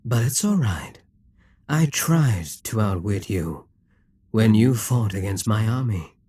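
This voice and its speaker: A man voicing a possessive, scheming demon king in an audio roleplay, calm and commanding, slipping into whispers as he coaxes. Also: on the low side, husky, dry recording, studio quality, heavily acted. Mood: hypnotic. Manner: slow, commanding, coaxing, drops to whispers.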